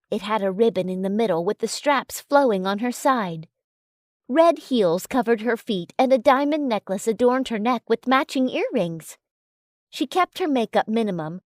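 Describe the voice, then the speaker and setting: A woman's voice: a little high, darkish tone, no room sound, clean studio sound. A woman reading a first-person romance story as a scripted narration.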